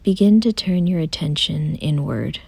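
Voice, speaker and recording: Breathy, hushed woman guiding meditation. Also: sits low, no echo. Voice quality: soft, breathy, often near a whisper. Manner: gentle, calm, unhurried instructions with pauses. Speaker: meditation guide. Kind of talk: soothing guided meditation, scripted.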